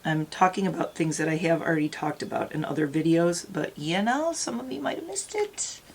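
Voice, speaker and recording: Chatty middle-aged woman, slightly raspy. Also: low voice, expressive, fairly quick. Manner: rambling, chatty, talks straight to viewers. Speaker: beauty vlogger. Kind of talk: casual makeup tutorial chat.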